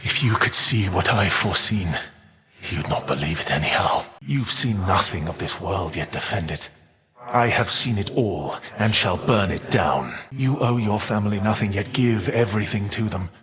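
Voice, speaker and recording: An actor playing a proud, vengeful, defiant Titan of fire in a video game, speaking with grave, haughty, archaic formality. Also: male voice, fairly low pitch, animated, normal speed, fairly bright tone. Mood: grave, scornful. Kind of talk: dramatic video game villain voice acting. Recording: long reverb tail.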